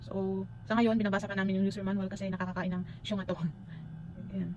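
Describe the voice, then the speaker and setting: Female Filipino vlogger, informal unboxing chat, mid-pitched and fast, switches into English, recorded with noisy ambience. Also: little pitch movement, a little mellow, lo-fi sound. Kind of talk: casual unboxing and review chat to viewers.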